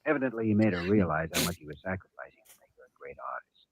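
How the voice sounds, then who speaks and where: An actor playing an earnest, firm hospital doctor in a 1950s radio drama, theatrical and emphatic, on a tinny vintage recording. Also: male voice, deep voice, lively intonation, rapid-fire, husky, dry recording, full character voice.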